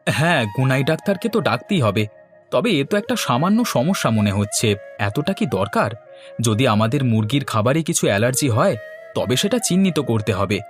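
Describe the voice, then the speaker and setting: A man's voice: mid-range voice, lightly acted. A man playing an earnest village chicken farmer in scripted cartoon dialogue.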